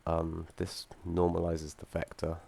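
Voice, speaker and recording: A young man with a croaky voice from feeling unwell, explaining his game project and code with frequent ums and restarts and the odd dry aside. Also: deep voice, completely flat delivery, measured pace, darkish tone. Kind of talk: screen-recorded code walkthrough.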